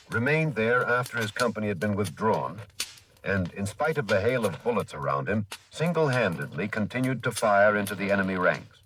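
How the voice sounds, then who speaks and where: A man reading scripted military history as a radio announcer, measured and emphatic. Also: lowish voice. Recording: distant, faintly noisy old radio recording.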